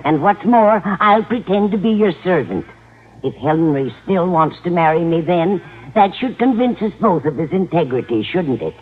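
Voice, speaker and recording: An actress playing a fluttery, well-meaning older landlady with a husky voice and motherly fussing, in vintage radio sitcom style. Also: on the low side, animated, normal speed. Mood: earnest, fussing. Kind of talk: 1950s radio sitcom acting. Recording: tinny old radio with audience.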